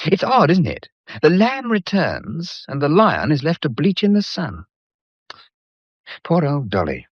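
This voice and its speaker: An actor with a British accent playing a breezy, blunt, gallant painter in a period radio drama, full of jaunty, slangy, teasing banter. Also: male voice, fairly high pitch, huge pitch swings, measured pace, husky, no room sound, exaggerated voice. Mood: wry, protective. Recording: dull, muffled lo-fi audio.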